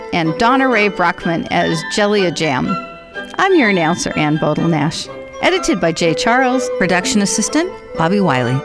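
A woman working as a radio drama announcer, reading scripted credits. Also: mid-range voice. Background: music.

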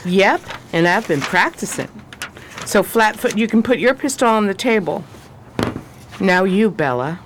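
An actress playing a shrewd, steely adventuress with a sardonic, commanding manner in a 1920s crime radio drama. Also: female voice, mid-range voice, expressive, measured pace, a little hiss or hum, lightly acted. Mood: cool, threatening.

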